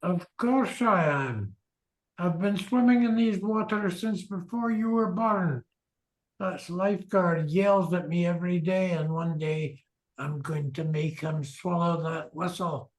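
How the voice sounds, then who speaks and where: A stubborn old swimmer acted by an older man in a radio comedy. He is proud and cantankerous, speaking slowly and deliberately. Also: sits high, poor audio. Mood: indignant, defiant.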